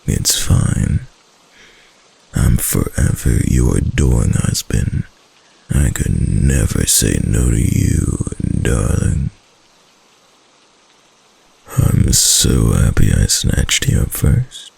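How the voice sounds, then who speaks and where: A man voicing a doting, obsessive kitsune husband in an intimate ASMR roleplay, breathy and gravelly, with hesitant, coaxing endearments. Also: a bass voice, expressive, slow pace, long pauses, a little mellow, exaggerated voice. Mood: adoring, playful. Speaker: voice actor in a roleplay.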